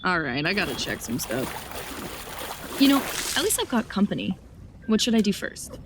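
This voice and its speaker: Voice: medium pitch, very animated, normal speed, noisy background, close to an everyday voice. A performer playing a wry, lonely traveler in a naturalistic audio drama, casually and dryly muttering to themselves.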